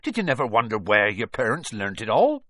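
Big gruff storybook giant, rustic talk, husky, swings up and down a lot, hot tempered but kind. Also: male voice, very animated, a little fast, sharp tone, no room sound, clean studio sound, full character voice. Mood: indignant. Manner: rustic dialect, booming when angry. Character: huge gruff giant man, hot-tempered yet kindly.

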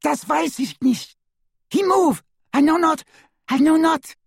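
Storybook role: man playing a terrified German-accented woman, very high pitch, pleading in halting phrases. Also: creaky, dry recording, clean studio sound, heavily acted. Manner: halting broken English, pleading. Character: frightened foreign woman speaking broken English.

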